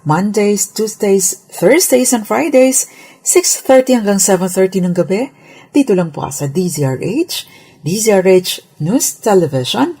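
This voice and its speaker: A woman's voice: medium pitch, animated, measured pace, mellow, breathy edge. A woman with a Filipino accent giving a prepared radio legal explainer, formal yet conversational, dropping in English legal terms. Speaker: lawyer and radio legal commentator.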